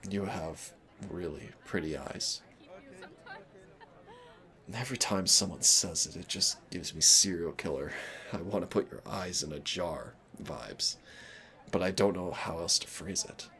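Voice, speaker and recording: A man playing a shy, gentle, nervous young man in an intimate audio roleplay, hesitant, rambling and self-deprecating. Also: low-pitched, a little slow, full character voice. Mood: shyly flattering.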